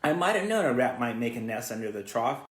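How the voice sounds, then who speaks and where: A man's voice: mid-range voice, big pitch swings, brisk, a bit bright, slight background noise. A man voicing an exasperated, grumbling farmhand in a children's storybook, exclaiming in an echoey room. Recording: roomy, echoey sound.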